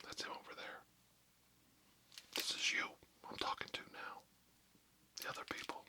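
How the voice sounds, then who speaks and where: A man playing a stern, pompous school principal in a whispered ASMR role play, scolding pupils in halting, drawn-out phrases. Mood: stern, accusing. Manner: pompous, halting, drawn-out, repeats words for emphasis.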